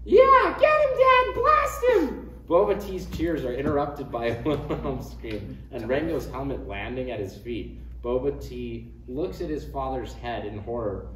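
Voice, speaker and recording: A man's voice: mid-range voice, normal speed, hardly any pauses, darkish tone. A man reading out the stage directions of a comedy script as narrator. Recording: echoey room, distant mic, faint noise.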